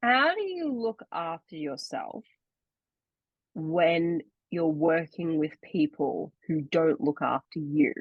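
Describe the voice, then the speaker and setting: A woman's voice: low voice, animated, slow pace, breathy edge, no room sound, phone-quality sound. A young woman co-hosting a podcast, chatting casually and explaining things at length with many fillers over a video call. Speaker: podcast co-host and actor. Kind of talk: casual chat.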